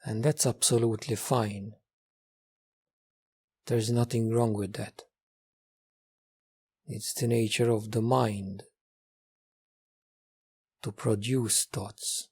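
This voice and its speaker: A man leading a guided meditation as its teacher, giving gentle instructions in a calm, measured way with repeated phrases and long pauses. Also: lowish voice, husky.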